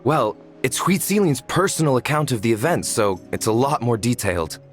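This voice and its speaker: A man voices a humble tribesman in a video game, earnest and polite. His mid-pitched voice is expressive and slightly hesitant, over faint music. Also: normal speed, lightly acted. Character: modest, earnest tribesman proud of family stories.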